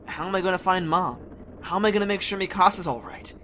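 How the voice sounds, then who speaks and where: A young man playing a blunt, troubled teenage boy in an audio drama, casual and halting. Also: high voice, bright, forceful tone. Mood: scared, frustrated. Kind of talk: fan audio drama acting. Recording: noisy ambience behind the voice.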